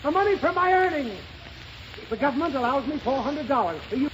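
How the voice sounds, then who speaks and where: A man's voice: extremely high pitch, expressive, a little fast, fairly bright tone. A flustered, exasperated father. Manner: flustered, theatrically exasperated, sputtering. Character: exasperated father doing his taxes. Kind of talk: 1930s radio comedy acting. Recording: dull 1930s radio broadcast with hiss and studio audience.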